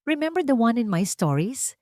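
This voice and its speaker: Gentle Filipino mom voiced by a female narrator, soothing, composed, storybook drama, dry sound. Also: a little high, moderate pace, dry recording, pitched above the performer's own voice. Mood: forced calm. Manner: calm, reassuring, mixing English with Tagalog. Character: gentle young mother soothing her small children.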